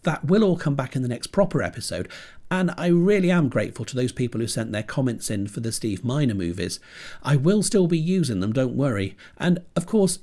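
A man's voice: medium pitch, mellow. A British man hosting a horror film podcast with dry, self-deprecating wit, sounding stuffy from a cold. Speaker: podcast host. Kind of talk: scripted podcast monologue. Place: home computer desk.

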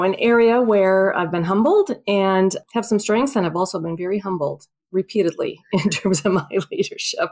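A woman's voice: medium pitch, darkish tone. A woman co-hosting a podcast, speaking candidly and reflectively about herself. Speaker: podcast co-host. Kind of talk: casual conversation.

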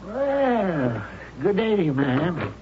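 A man's voice: high voice, big pitch swings, slow, a little hiss or hum, full character voice. An actor playing a plain-spoken rural male neighbor in an old radio drama, talking in a friendly, drawling way. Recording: muffled old radio broadcast.

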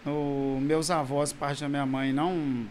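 A Brazilian man in his forties, a pastor, talking casually in an interview about his family and childhood, halting with restarts. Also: on the high side, flat delivery, slight background noise. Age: 40s. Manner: halting, with frequent restarts and soft laughs.

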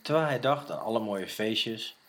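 A man's voice: fairly low pitch, expressive, normal speed, faint music behind. A man narrating a scripted musical audio story for children.

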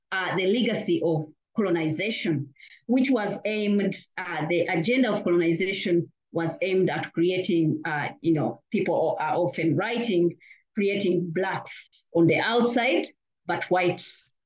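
A woman's voice: on the low side, a little mellow, muffled recording. A woman from Uganda, an academic, giving earnest interview answers full of "you know" fillers and restarts. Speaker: academic guest. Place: lives in Australia.

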